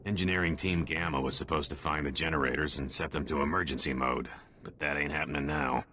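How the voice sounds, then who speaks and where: A commanding engineering chief in a video game, with an extremely deep, bright-edged voice. He is gruff and blunt, with faint noise behind. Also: fairly even intonation, fast. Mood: businesslike.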